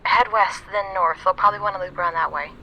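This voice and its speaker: A man voicing a quick, casual young hacker guiding the team over comms. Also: high-pitched, muffled recording, slight background noise, voice pushed up. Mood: urgent.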